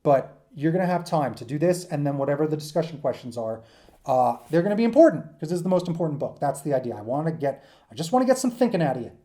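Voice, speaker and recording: A man's voice: fairly high pitch, very animated, quick pace, warm tone. A man giving an informal lecture to students in an enthusiastic, emphatic, rambling conversational way, as their teacher.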